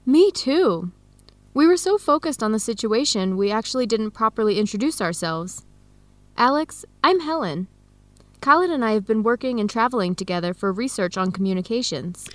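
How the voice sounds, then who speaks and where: A woman acting a caring, high-voiced young researcher. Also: animated, normal speed, no echo, lightly acted. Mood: caring, friendly. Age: young adult. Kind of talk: radio drama dialogue for English learners.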